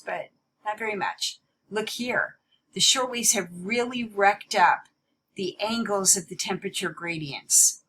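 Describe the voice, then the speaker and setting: A woman giving an explanatory slide lecture as a teacher, stressing key words in a deliberate way. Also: mid-range voice, sharp tone, slight background noise, rough recording.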